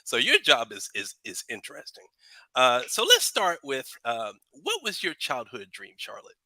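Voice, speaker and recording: A man hosting a podcast in casual interview chat, halting at times as he restarts his questions. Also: medium pitch, huge pitch swings, sharp tone, no room sound. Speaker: podcast host.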